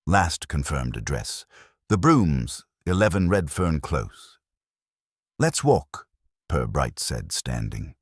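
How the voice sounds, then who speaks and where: Very deep, husky man narrating a mystery. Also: dry recording, studio quality. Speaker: story narrator. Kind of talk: scripted narration of a mystery story.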